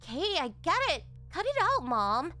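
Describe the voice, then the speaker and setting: A woman's voice: sits high, wildly swooping pitch, normal speed, sharp tone, soft background music, exaggerated voice. A woman voicing a shy, flustered tsundere young girlfriend in an anime-style ASMR roleplay, defensive and stammering, with a whiny edge. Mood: annoyed.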